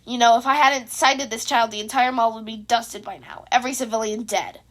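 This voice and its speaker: A woman's voice: fairly high pitch, fairly even intonation, fairly quick, fairly bright tone, natural voice. Woman voicing a sneering young male villain. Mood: menacing, sneering. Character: menacing young male villain, bitter and boastful. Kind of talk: audio story character voice.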